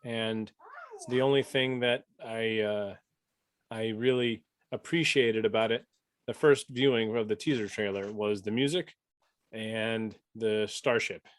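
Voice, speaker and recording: A man's voice: on the low side, steady tone, a little slow, dry recording, studio quality. A man giving his opinions casually as a panelist on a fan talk show. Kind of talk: casual chat.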